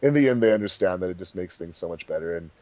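A man with a fairly low voice chats casually as the host of a commentary track. The recording is close and very dry, with no room sound. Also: expressive, racing, a little mellow, no echo. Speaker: fandub director and commentary host.

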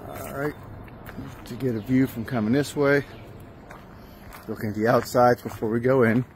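A man's voice: medium pitch, fairly even intonation, normal speed, a little hiss or hum. A man narrating casually while walking through an abandoned industrial site, plain-spoken and correcting himself as he goes. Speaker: urbex vlogger. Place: outdoors and in a large abandoned industrial building.

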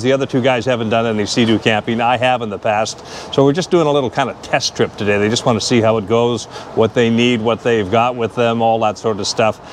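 A man's voice: mid-range voice, a little hiss or hum. A man in his fifties narrating a casual outdoor vlog in a chatty, joking way, recorded on a lapel mic outside. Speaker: vlogger. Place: outdoors at a boat launch and gas station. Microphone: lapel mic clipped to shirt.

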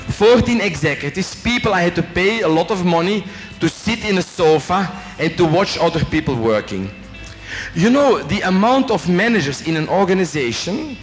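A middle-aged man from Belgium with a French accent, giving a chatty, joking business talk to students. He speaks into a handheld microphone, asks the audience questions and tags his sentences with "eh?". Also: a little high. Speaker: company executive giving a guest lecture. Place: large hotel function room with a student audience. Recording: long reverb in a big hall, faint music.

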